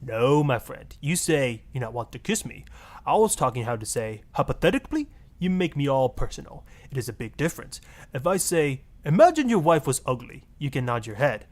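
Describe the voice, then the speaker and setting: A man's voice: medium pitch, sing-song, fairly quick, close to an everyday voice. A man voicing a suave, sleazy marriage guru with a Spanish accent, florid, seductive and pompous, in a satirical game radio style. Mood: passionate, wounded. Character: suave, sleazy Latin male marriage guru, grandly passionate.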